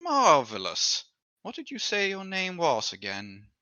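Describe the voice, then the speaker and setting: A man voicing an amused, imperious aristocratic patron in a drawn-out, pompous manner for a tabletop game. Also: high-pitched, huge pitch swings, pushed and bright, no echo, highs cut off, pitched above the performer's own voice, heavily acted. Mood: pleased, grand. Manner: slow, drawn-out, pompous delivery.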